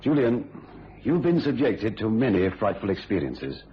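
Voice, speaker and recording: An actor playing a firm, paternal family doctor in a radio thriller, questioning with authority. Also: male voice, fairly low pitch, sing-song, normal speed, a little hiss or hum. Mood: grave. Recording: dull lo-fi radio recording.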